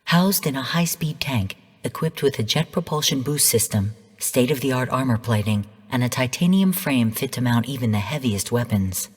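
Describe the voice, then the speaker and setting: An actress playing a female artificial intelligence in a video game, speaking in a measured, formal, clinical manner. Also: very deep voice, animated, breathy edge, heavily acted. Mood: clinical, informative. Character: calm female rogue artificial intelligence mentor turned genocidal overseer. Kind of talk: scripted video game voice lines. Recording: long hall-like reverb.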